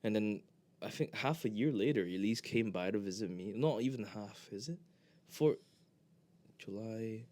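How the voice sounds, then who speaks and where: A young man from Hong Kong co-hosts a relaxed podcast chat. His voice sits on the low side. He rambles and stalls, often restarting his sentences as he goes. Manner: rambling, halting, with frequent restarts. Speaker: podcast co-host. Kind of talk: casual chat.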